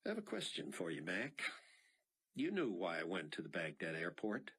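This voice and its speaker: A man voicing a calm, steady middle-aged captain who speaks in a measured way. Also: low-pitched, slightly rough, heavily acted. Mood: probing.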